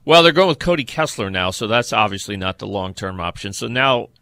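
A man co-hosting a sports podcast in casual football talk, haltingly searching for details as he goes. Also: lowish voice, lively intonation, bright, forceful tone, no room sound.

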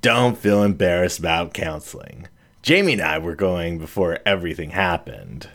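A man's voice: low-pitched, lively intonation, normal speed, fairly bright tone, full character voice. A man voicing a wry, reassuring woman friend in an audiobook reading, close to his own voice. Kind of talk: storybook reading.

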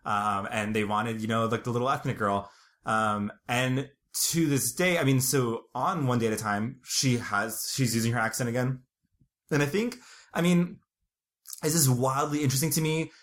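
A man's voice: medium pitch, moderate pace, fairly bright tone. A man hosting a podcast in casual chat, rambling with frequent fillers and restarts. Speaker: podcast host.

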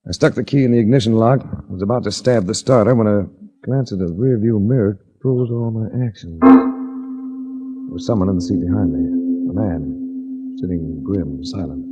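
An actor playing a wry hard-boiled investigator in a 1940s radio drama, speaking in a dry, measured, wisecracking way. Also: male voice, on the low side, animated, mellow, faint music behind, close to an everyday voice. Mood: grim, measured narration. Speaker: lead actor and narrator. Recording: dull 1940s radio recording.

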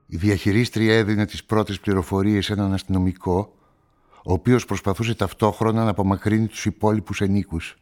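An actor as a deep-voiced, brooding male narrator. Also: flat delivery, a little mellow, lightly acted. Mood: measured. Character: brooding, solitary male newspaperman narrating a murder story. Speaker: narrator and protagonist of a radio play. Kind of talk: literary first-person radio drama narration.

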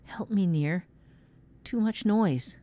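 A woman's voice: medium pitch, takes it slowly, warm tone, close to an everyday voice. A woman voicing a frightened, feverish boy who pleads in broken, short phrases in a story reading. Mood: pleading.

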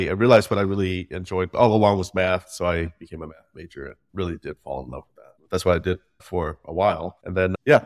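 Low-voiced man telling his story. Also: no room sound, clean studio sound. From Vietnam, grew up in the US Midwest. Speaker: startup founder, guest. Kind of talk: casual interview conversation.

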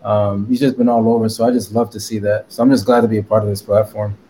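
Man from connecticut, guest, loose rambling talk, mellow voice, faint background noise. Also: on the low side, little pitch movement, quick pace. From Connecticut, USA. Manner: rambling with many fillers and laughs. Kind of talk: casual interview chat.

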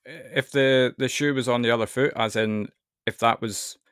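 Bright-voiced Scottish man, casual interviewer. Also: mid-range voice, steady tone, moderate pace, clear, resonant tone, no echo, clean studio sound. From Scotland.

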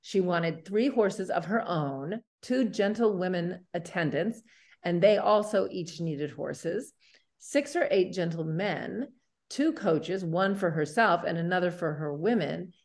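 A woman's voice: lowish voice, lively intonation, takes it slowly, darkish tone. A woman co-hosting a podcast in an upbeat style of presenting and chat. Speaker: podcast co-host.

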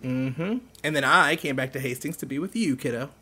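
Gentle, wry middle-aged uncle. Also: male voice, mid-range voice, normal speed, husky. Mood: reminiscing. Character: caring, teasing middle-aged uncle, gray-haired guardian. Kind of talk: naturalistic audio drama acting.

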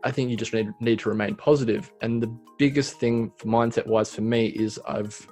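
A man's voice: medium pitch, fairly even intonation, moderate pace, a little mellow, soft background music. A man in his thirties, a small business owner, talking reflectively in a casual interview with many fillers. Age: 30s.

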